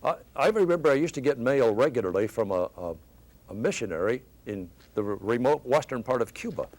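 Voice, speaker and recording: A man's voice: mid-range voice, expressive, a little fast, darkish tone. An older American man, a veteran radio broadcaster, telling casual stories from his years on the air in a panel chat. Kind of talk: panel reminiscence, casual storytelling.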